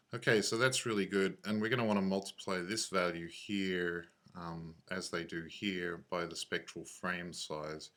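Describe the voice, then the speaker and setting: The guy at the back of the computer music lab with a deep, bright-edged voice, casually talking you through a patch in slang as he clicks. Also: steady tone, a little slow. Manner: laid-back, offhand, slangy. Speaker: software tutorial instructor. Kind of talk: casual screencast walkthrough, thinking aloud.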